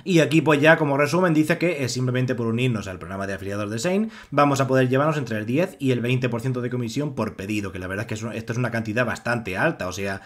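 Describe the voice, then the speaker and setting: A man's voice: medium pitch, fast, hardly any pauses. A young man with an accent from Spain narrating a screen-recorded tutorial, rambling with many fillers and restarts. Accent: Spanish from Spain. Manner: rambling, heavy use of filler "pues" and restarts. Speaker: online money-making tutorial host.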